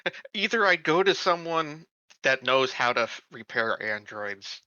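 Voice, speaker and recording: A man's voice: mid-range voice, sing-song, unhurried, sharp tone, clear, resonant tone, dry recording, lo-fi sound. A man chatting casually as a player in a tabletop roleplaying game.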